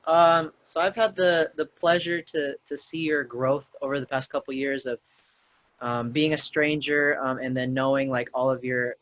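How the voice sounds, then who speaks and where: A man's voice: medium pitch, a bit bright, no echo, rough recording. Dry-sounding young male podcast host. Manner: hesitant, many "um" restarts, friendly. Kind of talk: casual podcast hosting.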